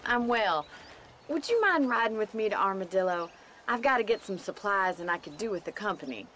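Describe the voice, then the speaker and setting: A woman's voice: medium pitch, animated, fairly quick, fairly bright tone, slight background noise, lightly acted. A woman voicing a spirited young rancher in a game cutscene, forthright and teasing in her plainspoken talk. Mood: friendly. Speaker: ranch owner. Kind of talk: video game cutscene dialogue.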